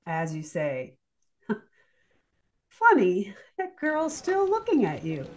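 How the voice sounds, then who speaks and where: An actress playing a clever, prying female colleague in a radio play. She speaks at a middling pitch with very lively, arch intonation. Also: extremely slow, exaggerated voice. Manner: arch, probing. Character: sly, inquisitive, clever woman office colleague. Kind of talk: audio drama acting.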